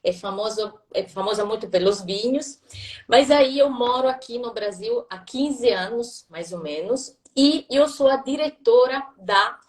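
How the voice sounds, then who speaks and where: A woman's voice: a little high, lively intonation, unhurried, roomy sound. Italian-accented woman, a little distant from the mic. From southern Italy. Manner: friendly, explanatory, frequent hesitations and tag questions. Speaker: language school director, guest. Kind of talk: casual live-stream conversation. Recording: voice a bit far from the mic.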